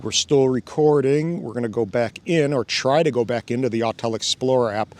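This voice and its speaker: A man's voice: mid-range voice, fairly quick, a little mellow. A man hosting a casual hands-on demo outdoors by a fountain, thinking aloud and laughing often as he goes. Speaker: drone channel host. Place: outdoors by a fountain, cold weather.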